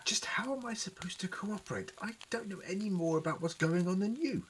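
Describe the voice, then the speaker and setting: A woman's voice: medium pitch, lively intonation, fairly quick, slight background noise, natural voice. A woman playing a beleaguered doctor in an audio drama, earnest and defensive, pleading innocence.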